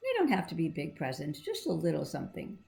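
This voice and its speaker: A woman's voice: low voice, wildly swooping pitch, fast talker, gravelly, darkish tone. A woman reading and explaining a storybook to children in a simple, encouraging, teacherly manner. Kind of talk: read-aloud with explanatory asides to children.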